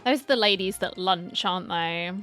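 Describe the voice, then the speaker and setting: A woman's voice: lowish voice, normal speed, a bit bright. A woman giving casual, chatty reaction commentary on a video game, talking back to the characters. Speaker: reaction streamer. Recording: faint game music behind.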